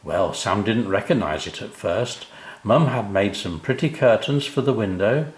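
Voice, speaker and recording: An older man tells a children's story at an unhurried pace, with very varied intonation. He addresses his young listeners directly, and the recording has a roomy echo. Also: lowish voice, lively intonation. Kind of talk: expressive children's story narration.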